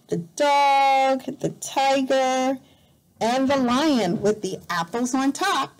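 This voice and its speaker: A middle-aged woman reads to young children like a teacher. Her voice sits fairly high and swings widely in pitch. She goes very slowly and deliberately, repeating and explaining simple words with expressive emphasis. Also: low-quality recording. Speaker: volunteer teacher reading a picture book to young learners. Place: indoor room at home.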